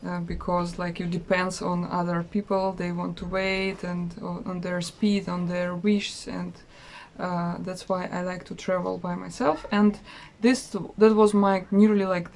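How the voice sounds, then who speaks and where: A woman's voice: fairly low pitch, a little mellow. Chatty woman with a Russian accent. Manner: chatty, hesitant with many fillers. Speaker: travel vlogger. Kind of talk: casual travel vlog.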